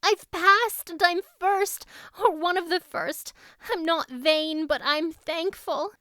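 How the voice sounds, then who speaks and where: A woman's voice: extremely high pitch, takes it slowly, bright, forceful tone, no room sound, clean studio sound, pitched above the performer's own voice, heavily acted. A woman voicing a dreamy, earnest, grateful teenage girl in a storybook reading. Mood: joyful.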